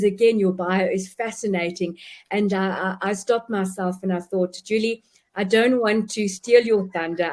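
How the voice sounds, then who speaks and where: Female webinar host, effusive introducer with frequent restarts, mid-pitched. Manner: warm, effusive, many restarts. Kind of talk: event introduction.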